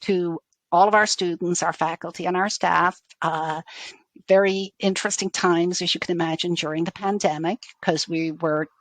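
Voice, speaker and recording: A woman's voice: fairly low pitch, fairly even intonation, normal speed, no room sound. A middle-aged woman from Dublin with a faded Irish accent gives conversational interview answers, often rephrasing as she goes. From Dublin, Ireland. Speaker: university IT executive, interview guest.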